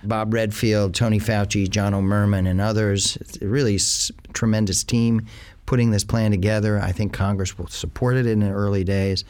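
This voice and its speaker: Middle-aged guy interviewing, lowish voice, long winding questions with lots of uh. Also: fairly even intonation, normal speed, darkish tone. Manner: long, rambling questions with fillers.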